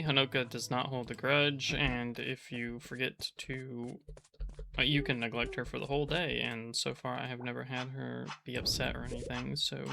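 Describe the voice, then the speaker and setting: A man giving casual gameplay commentary from his apartment, in a flat, restrained voice that he keeps low so he doesn't wake the neighbors, rambling with frequent restarts. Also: creaky, noisy background. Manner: monotone, kept quiet. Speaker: gaming channel host.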